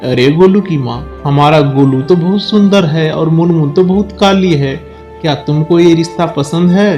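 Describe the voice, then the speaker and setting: A man's voice: a little high, moderate pace, dark tone, faint music behind, natural voice. A man playing an adult male bird, a blunt and persuasive village elder in a cartoon story. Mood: matter-of-fact. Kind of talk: Hindi cartoon story dialogue.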